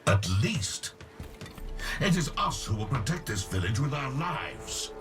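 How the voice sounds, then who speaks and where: An actor playing a solemn, resolute knight defending a village in a fantasy game, stiff and earnest. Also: male voice, medium pitch, very animated, measured pace, music in the background. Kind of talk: dramatic fantasy game acting.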